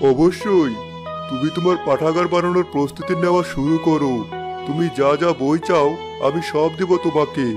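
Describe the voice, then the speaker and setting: Kindly talking tree over clear music voiced by an actor. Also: mid-range voice, steady tone, moderate pace, lightly acted. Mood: reassuring. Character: kindly talking book tree, calm and wise. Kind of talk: children's cartoon voice acting. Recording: music behind the voice.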